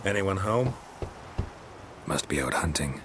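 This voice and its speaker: A man's voice: low-pitched, very animated, takes it slowly, background noise, full character voice. An actor playing a terse, dry middle-aged male monster hunter in video game dialogue, with game music behind. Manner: terse, dry, clipped sentences.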